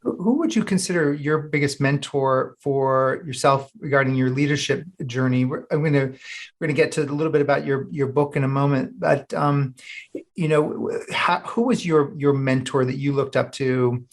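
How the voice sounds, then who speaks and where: A man hosting an interview, asking casual questions in a halting way and rewording them as he goes. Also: mid-range voice. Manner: halting, rambling, rephrases questions. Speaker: podcast host, interviewer.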